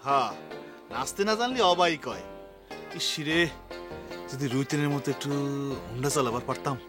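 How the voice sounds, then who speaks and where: A man's voice: mid-range voice, sing-song, moderate pace, over music, close to an everyday voice. An actor playing an eager, naive village man in a comic TV drama, speaking a rural Bangladeshi dialect. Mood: excited.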